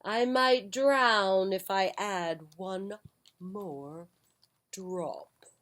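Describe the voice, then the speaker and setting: A woman voicing a very old male wizard who speaks slowly and mysteriously. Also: mid-range voice, a bit bright. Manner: slow, drawn-out, solemn.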